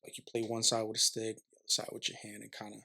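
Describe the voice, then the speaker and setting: A man's voice: fairly low pitch, monotone, quick pace, gravelly, bright, forceful tone. A young man, a guest on a podcast, talking in a laid-back way with slang like "bro" and "yo". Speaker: podcast guest, musician and vocal engineer. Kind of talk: casual conversational interview. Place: warm studio under lights.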